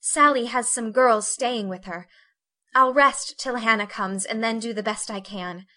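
Woman reading as a genteel young lady, higher and brighter than the narration, soft and plaintive, storybook reading. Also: measured pace. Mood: fretful.

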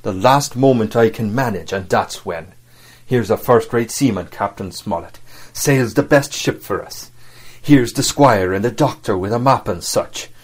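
A man voicing a sly, scornful, commanding sea cook for an audiobook, in speech with emphatic rustic phrasing. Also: lowish voice. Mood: scheming. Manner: rustic sailor talk, emphatic, drawn-out delivery. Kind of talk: audiobook character acting.

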